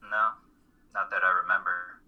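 A man playing a blunt, earnest college student in a play reading, husky and matter-of-fact. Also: sits low, flat delivery, moderate pace, phone-quality sound, close to an everyday voice. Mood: dry, defensive. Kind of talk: dramatic reading of a stage play.

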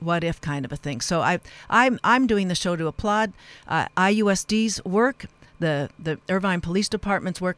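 Lady radio host, smooth clean voice, close dry studio sound, kinda halting and earnest. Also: lowish voice, no room sound. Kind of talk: live radio interview hosting.

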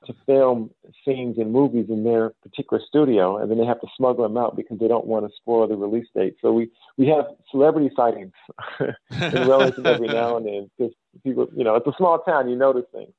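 A middle-aged American man from Chicago giving explanatory, measured answers as an interview guest, often dropping in "you know". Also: medium pitch, dry recording, narrow, tinny audio. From south side of Chicago, United States. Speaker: interview guest, business school dean.

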